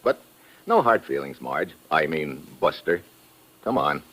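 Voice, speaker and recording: An actor playing a tough, wry investigator in a 1950s radio drama, with sardonic, clipped delivery. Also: male voice, a bass voice, big pitch swings, a little slow, very noisy, exaggerated voice. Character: tough, wry male investigator narrating his adventure. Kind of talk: hard-boiled 1950s radio drama acting.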